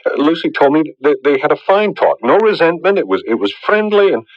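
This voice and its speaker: An actor playing an anxious, distraught young fiancé in a 1980s radio drama, halting and repeating his words. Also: a little high, very animated, no echo. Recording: muffled old radio broadcast.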